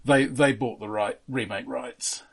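A man with a husky, mid-pitched voice co-hosting a film podcast. He is measured and factual, laying out background information with halting pauses. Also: fairly even intonation.

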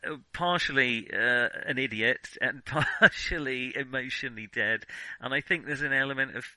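A man's voice: mid-range voice, normal speed, bright, forceful tone, no room sound, low-quality recording. A man in a casual film discussion, speaking hesitantly and often hedging or correcting himself. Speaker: film podcast co-host.

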